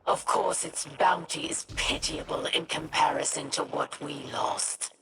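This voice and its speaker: Low, scornful alien exile. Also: female voice, animated. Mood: cold scorn. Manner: disdainful, measured. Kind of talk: video game dramatic dialogue. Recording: loud game ambience noise.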